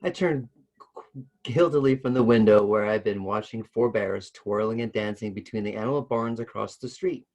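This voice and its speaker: A man's voice: lowish voice, moderate pace, darkish tone. A man reads a story aloud expressively, now and then stumbling over a word and adding casual asides. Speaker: co-host reading a story aloud.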